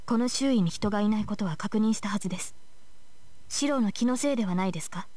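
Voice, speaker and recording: A woman's voice: mid-range voice, rapid-fire, a little mellow, no echo, close to an everyday voice. An actress playing a stern young female knight in a visual novel, speaking formally and with composure. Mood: composed, formal. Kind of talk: Japanese visual novel voice acting.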